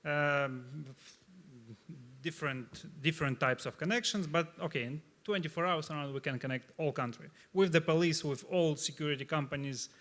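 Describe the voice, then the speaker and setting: A startup founder with a Russian accent speaking on a live panel, halting and searching for words with many fillers. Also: male voice, fairly high pitch, fairly bright tone.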